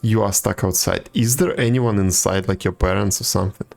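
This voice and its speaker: Low, gravelly man streaming games. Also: brisk. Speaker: game livestreamer. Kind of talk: casual chat with viewers while gaming. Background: game music.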